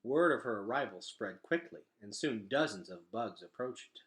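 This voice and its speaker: A man reading a children's novel aloud in an expressive, dramatic storytelling style. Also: fairly low pitch, normal speed. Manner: animated, dramatic delivery.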